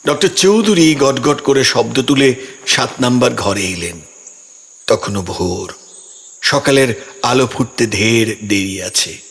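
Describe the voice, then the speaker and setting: A man's voice: medium pitch, expressive, takes it slowly, fairly bright tone, breathy edge. A man narrating a scripted audio story in a dramatic storytelling style. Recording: music behind the voice.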